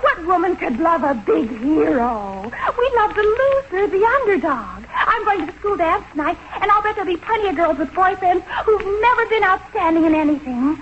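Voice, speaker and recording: A woman's voice: extremely high pitch, big pitch swings, moderate pace, few pauses, fairly bright tone, full character voice. An actress playing an earnest, gushing American teenage girl in a 1940s radio comedy. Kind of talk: 1940s radio sitcom acting. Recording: dull, faintly noisy 1940s radio recording.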